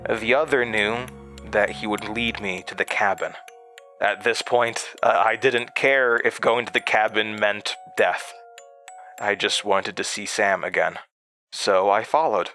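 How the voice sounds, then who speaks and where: An actor playing a haunted man recounting a terrifying memory in a horror audio drama, halting and hesitant. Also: fairly low pitch, fairly even intonation, pushed and bright, faint music behind. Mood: haunted, tense. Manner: halting, hesitant, with stammered restarts.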